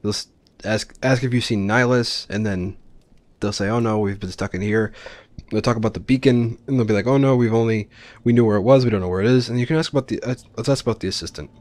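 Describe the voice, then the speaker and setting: A man's voice: fairly low pitch, quick pace, darkish tone, no echo. A man giving casual, explanatory let's play commentary on a video game. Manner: explanatory, informal.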